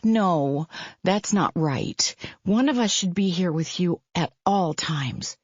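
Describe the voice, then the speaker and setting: A steady, caring woman friend, soothing but firm, speaking with a gentle lift, as a woman reader puts her on. The sound is dry and somewhat dull. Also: fairly low pitch, takes it slowly, breathy edge, no echo, full character voice. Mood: concerned.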